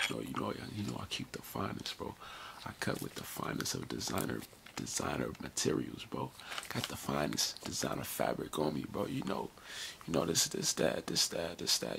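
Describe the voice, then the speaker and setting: Very deep, crackly-voiced American man murmuring ASMR. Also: creaky. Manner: repetitive, slangy, reassuring murmur. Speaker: ASMR performer roleplaying a barber. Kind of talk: soft-spoken ASMR barbershop roleplay. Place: small room indoors. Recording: noisy close mic with handling sounds.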